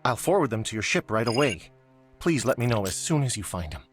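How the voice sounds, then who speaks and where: A male actor as a worried civilian in a space game. His voice is medium in pitch, earnest and plain-spoken, with faint music in the background. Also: very animated, brisk, close to an everyday voice. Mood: worried, hopeful. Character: worried working man seeking his missing brother. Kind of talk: video game voice acting.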